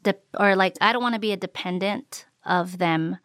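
A woman born in Mexico recalling her life in an interview, reflective and unhurried with frequent ums and uhs. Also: mid-range voice, a bit bright, clean tone, dry recording, clean studio sound. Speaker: interviewee, immigrant rights advocate. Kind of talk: recorded interview recollection.

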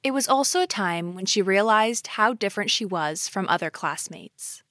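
A woman reporter reading a scripted narration in a calm, even storytelling manner. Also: mid-range voice, normal speed, no room sound, studio quality.

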